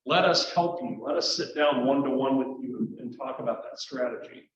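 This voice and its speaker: A man's voice: mid-range voice, moderate pace, a little hiss or hum. Motivational man in a very echoey room. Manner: motivational, rhetorical questions. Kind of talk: motivational talk. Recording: very echoey room, muffled sound.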